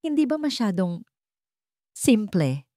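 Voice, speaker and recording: A woman's voice: medium pitch, very animated, slow pace, mellow, dry recording, voice pushed up. A shy young mother played by a woman narrator in a romantic audio drama. She sounds bashful and mildly scolding, in a dry, close recording. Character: shy, flustered young mother in love, denying it.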